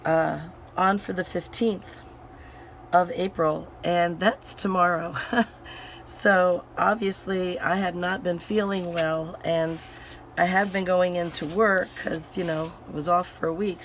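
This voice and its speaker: Female crafting host, hoarse recovering voice, rambling and unhurried, slight background hiss. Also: lowish voice, fairly even intonation, poor audio. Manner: rambling, full of fillers and restarts. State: hoarse, voice recovering from sinus infection. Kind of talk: casual crafting chat while working.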